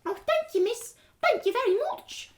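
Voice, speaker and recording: Little street urchin boy performed by a woman: very high pitch, meek, polite, timid storybook child. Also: expressive, moderate pace, echoey room. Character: small, timid, hungry beggar boy, polite.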